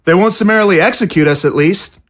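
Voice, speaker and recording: A woman's voice: fairly low pitch, quick pace, lightly acted. A woman playing an indignant young undead man in an audio drama, talking in a blunt, colloquial way. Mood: bitter, worried. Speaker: voice actor. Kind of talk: scripted audio drama acting.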